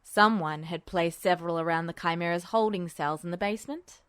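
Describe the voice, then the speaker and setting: A woman's voice: lowish voice, a little fast, smooth, dry recording, pitched below the performer's own voice, close to an everyday voice. A woman voicing a smug, unflappable male military officer, dry and teasing as she reads the story aloud. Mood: smug, unruffled. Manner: dry, teasing, calmly commanding. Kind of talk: podfic character voice.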